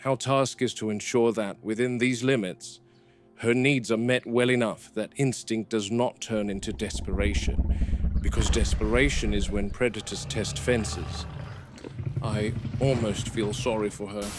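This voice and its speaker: A man playing a grave, reflective middle-aged game warden, speaking a measured, precise field log in an analog horror drama. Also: lowish voice, fairly even intonation, music in the background, lightly acted. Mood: wary, musing. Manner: measured, solemn, precise phrasing. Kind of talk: scripted monologue as a spoken field log.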